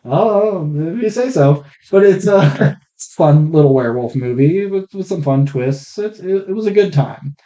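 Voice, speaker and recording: A man's voice: fairly high pitch, expressive, normal speed, warm tone. A man co-hosting a podcast in casual movie review chat, blunt, sweary and rambling. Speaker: podcast co-host. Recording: muffled, rough faulty podcast mic.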